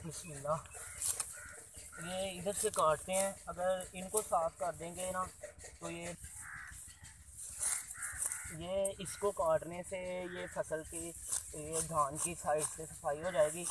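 A man's voice: on the high side, fairly even intonation, slow pace, pauses often. A young man, chatting casually to viewers as he shows them around the fields outdoors. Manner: chatty, pointing things out to viewers. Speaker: village vlogger. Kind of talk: casual vlog commentary. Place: outdoors in rural rice fields. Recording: outdoor noise on camera mic. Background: open-air rural ambience.

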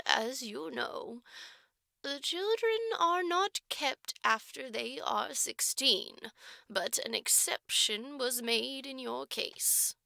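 A woman voicing a prim, condescending orphanage matron in an audiobook, with slow, formal, drawn-out delivery. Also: fairly high pitch, vocal fry, bright, forceful tone, no echo, clean studio sound, full character voice. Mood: stern, measured. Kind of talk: audiobook character voice.

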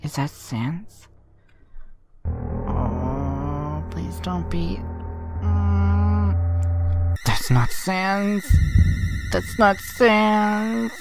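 A woman's voice: fairly low pitch, wildly swooping pitch, drawn-out words, vocal fry, long pauses, full character voice. A woman voicing a catty, prim school-meeting woman in a cartoon comic dub, snide and dramatic. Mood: dread. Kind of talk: comic dub character acting. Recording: music behind.

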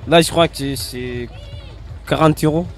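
A young man answering street interview questions in a relaxed, unhurried way, on a handheld mic outdoors. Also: a little high. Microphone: handheld mic held by interviewer.

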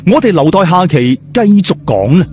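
A man's voice: fairly high pitch, very animated, normal speed, darkish tone, a little hiss or hum. A man with a Hong Kong Cantonese accent narrating a story as a dramatic audiobook.

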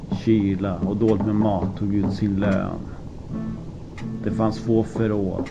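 A man narrating an audiobook from a script, in deliberate phrases with dramatic pauses. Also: lowish voice. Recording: muffled lo-fi with clear background noise.

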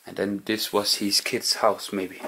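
Slow, low-voiced man exploring quietly. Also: little pitch movement, vocal fry, a little hiss or hum. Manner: hushed, musing, non-native English phrasing. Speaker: urban explorer vlogger. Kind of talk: casual narration while exploring. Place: indoors in an abandoned house.

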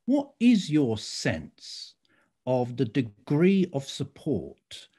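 Male interviewer, husky, lively pitch, halting questions. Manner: hesitant, repeats words when asking.

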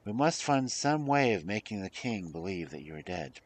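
A man's voice: on the low side, sing-song, moderate pace, breathy edge. A man voicing an honourable, troubled captain of the guard in a storybook. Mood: resolute. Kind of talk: storybook character voice.

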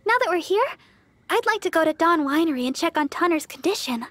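Very high-pitched, bashful young deaconess. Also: female voice, animated, fairly quick. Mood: gentle, hesitant. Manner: polite, hesitant, gentle. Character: sweet, earnest, bashful young woman, church deaconess idol. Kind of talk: video game character dialogue.

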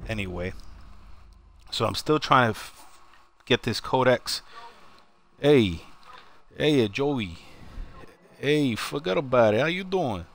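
A man commenting on a live shooter game, chatty and full of wiseguy catchphrases, greeting teammates and viewers by name. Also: medium pitch. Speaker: game streamer.

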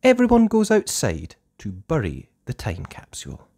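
A woman hosting a storytime, reading a children's book aloud with animated expression. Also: very deep voice, breathy edge. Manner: slow, animated delivery for small children. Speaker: storytime host and narrator.

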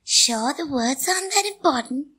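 An Indian-accented woman playing a mocking, gleeful ghost of forgotten lines in a radio play, with theatrical drawn-out delivery and a breathy voice. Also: high voice, lively intonation, sharp tone, slight background noise. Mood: gleeful taunting.

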